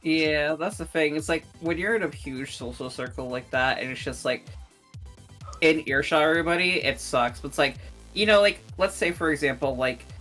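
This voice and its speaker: Low-pitched woman with a bright, sharp voice. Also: soft background music. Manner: blunt, crude, quick asides. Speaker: streamer guest. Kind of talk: casual chat.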